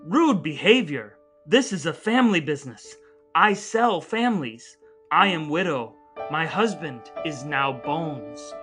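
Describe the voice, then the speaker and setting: A man's voice: on the high side, expressive, measured pace, fairly bright tone, faint music behind. A man voicing a blunt, earnest widowed mother in a stilted, declamatory parody screenplay reading. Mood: indignant.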